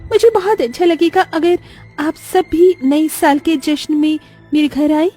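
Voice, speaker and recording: A woman's voice: high voice, steady tone, measured pace, dark tone, faint music behind, voice pushed up. A woman voicing a haughty, dramatic rich young daughter-in-law in a moral-story cartoon, exclaiming theatrically. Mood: warm.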